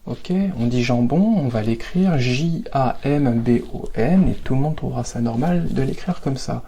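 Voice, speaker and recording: A man's voice: medium pitch, normal speed, warm tone, a little hiss or hum. A man from Paris with a Parisian French accent, explaining things informally in a conversational, digressive way and stressing key words. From Paris, France. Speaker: video host explaining etymology.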